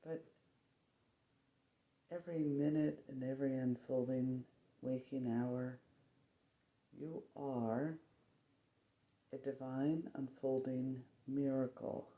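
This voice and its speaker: A meditation guide speaking soothingly in short, measured phrases with long pauses, offering spiritual affirmations. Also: mid-range voice, fairly even intonation, warm tone. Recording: roomy echo, faint background noise.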